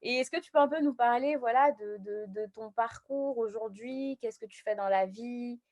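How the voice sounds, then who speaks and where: A woman's voice: fairly high pitch, normal speed, darkish tone, muffled recording. A woman hosting a casual live interview in an enthusiastic, encouraging way. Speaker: interviewer, show host.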